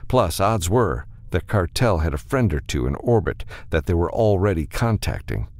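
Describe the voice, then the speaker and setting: A man's voice: deep voice, lively intonation, normal speed, a little mellow, no echo, clean studio sound. A man narrating an adventure novel as an audiobook, reading scripted prose dramatically.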